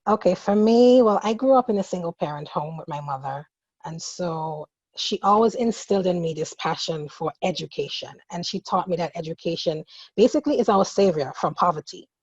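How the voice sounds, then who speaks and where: A woman graduate student on a panel, telling her path into education with passion, turning quieter and heavier as she speaks of loss. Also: fairly low pitch, expressive, brisk, breathy edge, no echo. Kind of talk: earnest personal account. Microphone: video call. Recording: dull lo-fi video call audio.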